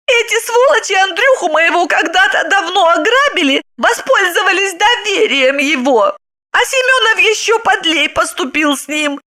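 A brassy middle-aged wife who jeers and shrieks at her husband in a piercing, very high voice that leaps all over the place. Her tone is bright and sharp, full of mockery. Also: full character voice. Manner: shrieking, mocking, cackling. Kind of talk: audiobook character reading.

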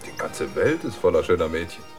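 Very low, world-weary outsider. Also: male voice, sing-song, brisk, over music. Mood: calm, dry. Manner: dry, matter-of-fact. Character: world-weary, sober male outsider and questioner. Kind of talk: German radio drama acting.

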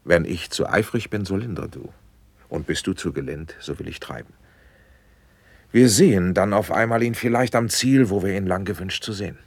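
An actor playing an impatient, commanding prince in a classic German radio play, speaking stately verse with elevated rhetoric. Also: male voice, sits low, normal speed, breathy edge, dry recording. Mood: firm, resolute.

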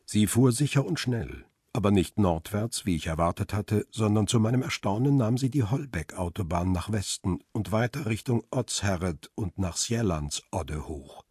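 An actor playing a middle-aged, world-weary male photographer who narrates a radio crime drama in a measured, reflective way. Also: on the low side, lively intonation, a little mellow, husky, no room sound, studio quality. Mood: reflective, tender. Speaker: first-person narrator and lead character.

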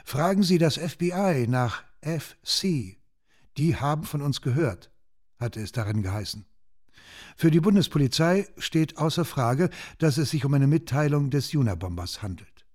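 A man's voice: fairly high pitch, lively intonation, normal speed, a little mellow. A man reading scripted radio documentary narration in a sober, factual manner.

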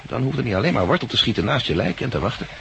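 An actor in a 1960s radio drama playing a shrewd, brooding farm worker who speaks gravely and deliberately. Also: male voice, mid-range voice, very animated, a bit bright, full character voice. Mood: grim, musing. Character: brooding male plant-farm worker, shrewd, grimly warning. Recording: old radio recording, faint noise.

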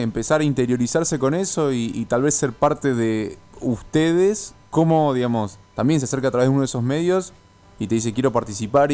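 A man's voice: medium pitch, darkish tone. A man with a Buenos Aires accent asking questions casually as a radio co-host, hesitant and informal. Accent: Argentine, Buenos Aires. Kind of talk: casual radio interview chat.

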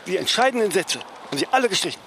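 Fast, animated, imperious philosopher. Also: male voice, high voice, gravelly, slight background noise, exaggerated voice. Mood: indignant. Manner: imperious, reproachful, verbal tags like "ne?". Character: vain, cantankerous celebrity philosopher, prickly about his mother. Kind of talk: German radio play dialogue.

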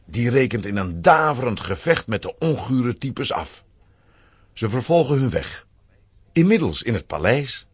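A man narrating a scripted children's audio play as an expressive storyteller. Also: medium pitch, measured pace, husky, dry recording.

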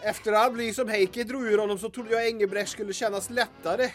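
An actress playing a worried, sardonic, practical sidekick in a comic audio drama, complaining wryly. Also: female voice, medium pitch, steady tone, music in the background, lightly acted. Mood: wry, doubtful. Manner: wry, complaining. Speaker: actor in audio drama serial. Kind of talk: comic radio-play acting.

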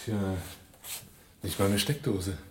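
A man's voice: fairly low pitch, slow pace. An actor playing an impatient, hard-nosed man in shady business in a German radio drama. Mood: brisk. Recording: distant, echoey, very noisy recording.

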